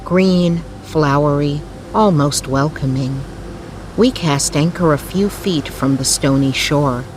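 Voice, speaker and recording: A woman narrating a scripted bedtime story in a soothing, measured manner with careful phrasing. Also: deep voice, background noise. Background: music.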